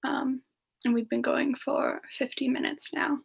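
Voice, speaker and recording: A high, slow, sweet voice for a modest ice-powered singer in love played by a woman. She talks softly and playfully, with giggles and pet names, in the intimate style of an in-character semi-ASMR livestream. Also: level tone, gravelly, husky, exaggerated voice. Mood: chatty, relaxed. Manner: soft, giggly, endearing chatter with pet names. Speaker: character streamer answering chat questions.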